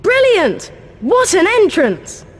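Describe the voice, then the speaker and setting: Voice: very high-pitched, wildly swooping pitch, takes it slowly, full character voice. An actor voicing an earnest, polite young English schoolboy wizard in a video game. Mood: bright. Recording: long hall reverb, game music.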